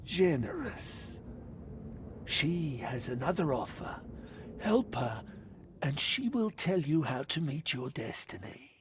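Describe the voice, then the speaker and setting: A man's voice: fairly high pitch, sing-song, a little hiss or hum. An actor playing a man possessed by an undead sorceress, speaking cryptic riddles solemnly in a video game. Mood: solemn, ominous. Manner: ominous, riddling, deliberate. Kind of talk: video game dialogue acting.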